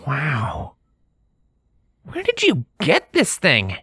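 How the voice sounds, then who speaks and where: A woman voicing a friendly, excitable young male pony tinkerer in a storybook reading, with casual, earnest speech. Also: low-pitched, extremely slow, husky, no room sound, studio quality, pitched above the performer's own voice, exaggerated voice. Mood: sheepish, curious. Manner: casual, earnest, hesitant with filler words.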